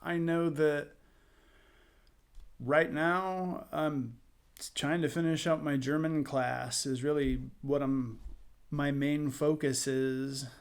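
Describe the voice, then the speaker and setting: An American man hosting a casual podcast interview, relaxed and friendly, with a light laugh. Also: on the high side, slow pace, clean tone. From United States. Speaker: podcast host.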